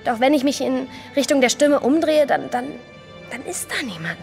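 A woman's voice: high voice, sing-song, normal speed, a little mellow, faint music behind. An actress playing a frightened, indignant young woman in an audio drama, stammering hesitantly at times and speaking emphatically. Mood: anxious. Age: young adult.

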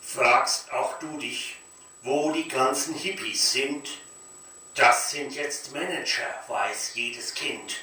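Older male slam poet, slow delivery, very bright forceful voice, recorded from the audience. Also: mid-range voice. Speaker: poetry slam performer. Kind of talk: live comic poetry performance. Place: dark cinema stage at a lectern. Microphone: distant camera in the audience. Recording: very echoey hall from far off, faint noise.

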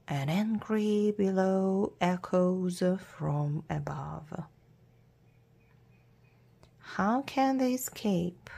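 Lady reading a kids adventure story really really slow, kinda creaky and soft-toned, hushed at the ends of phrases. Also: lowish voice. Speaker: storybook reader. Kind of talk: slow scripted storybook narration for children.

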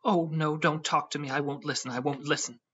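Flighty, pouting young wife with a high husky tone, read by a man on a dull lo-fi track. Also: expressive, moderate pace, fairly bright tone. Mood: cross. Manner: raised, whiny, petulant delivery. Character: petulant pretty young wife, flighty and fretful.

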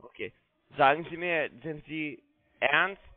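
A man's voice: on the high side, slow, no echo. A non-native German-speaking man working as a phone sales agent, bluntly pressing the listener over a muffled phone line. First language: not German. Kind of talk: pushy phone sales call.

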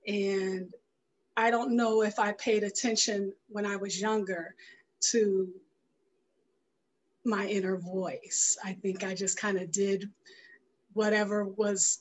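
A middle-aged woman giving reflective interview answers in a thoughtful way, pausing mid-phrase as she goes. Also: medium pitch, a little hiss or hum, rough recording. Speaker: interview guest, filmmaker and radio show creator. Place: indoor room, video call. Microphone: headset on video call.

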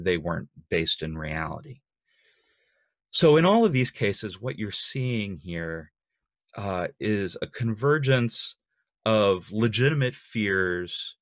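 A middle-aged man giving an online talk in an earnest, measured way, phrase by phrase. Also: low-pitched, fairly bright tone, dry recording, clean studio sound. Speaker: conference speaker. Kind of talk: lightning talk to an online audience. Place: small home room with bookshelves, indoors. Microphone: webcam video call.

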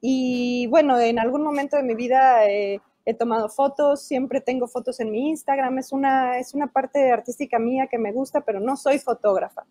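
A fairly high yet mellow-toned Mexican woman in her thirties, speaking with a Mexican Spanish accent. She talks animatedly, gesturing as she explains, in an interview over a video call. Her earphone mic gives a close, dry sound. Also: moderate pace, hardly any pauses, dry recording. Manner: animated, gestures while talking. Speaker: illustrator and children's author. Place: indoor room at home. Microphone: earphone headset mic on a video call.

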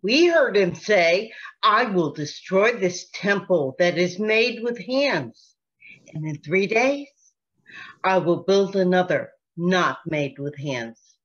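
A woman's voice: fairly low pitch, lively intonation, takes it slowly. A woman reading the part of an insistent false witness in an amateur church dramatic reading over a video call. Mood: accusing. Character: insistent false witness testifying against a prisoner. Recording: dull video-call audio.

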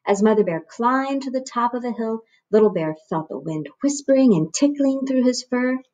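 A woman's voice: a little high, animated, normal speed, warm tone, lo-fi sound. A woman, narrating a picture book expressively for children as a storyteller. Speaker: read-aloud host, storyteller. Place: small room at home, on a couch.

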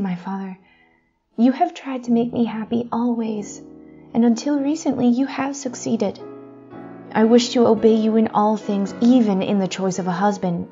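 Audio drama princess, female actor: young, proud, high-principled, declaims earnestly; dull lo-fi recording. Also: mellow, lightly acted. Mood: defiant, pleading.

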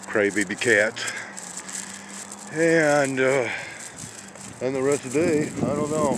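A man talking casually while he walks small-town streets outdoors in the snow, with rambling, folksy exclamations into a handheld phone. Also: medium pitch. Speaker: vlogger on a weather walk. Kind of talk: casual walking commentary. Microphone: handheld phone camera. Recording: wind noise on the mic.

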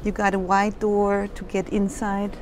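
A woman's voice: medium pitch, monotone, measured pace, over music. A woman exhibitor demonstrating a small caravan at a trade fair, explaining features in simple, non-native phrasing. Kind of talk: product demonstration.

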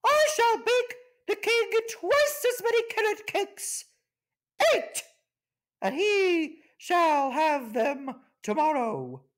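A man's voice: very high-pitched, very animated, takes it slowly, a bit bright, pitched above the performer's own voice, heavily acted. A man voicing a haughty, boastful female macaw in a children's storybook.

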